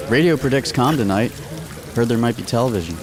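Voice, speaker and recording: A man playing a loyal, dry-witted sergeant major in a radio drama, laconic and deadpan. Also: mid-range voice, fast, crowd noise, close to an everyday voice. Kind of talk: post-apocalyptic radio drama acting.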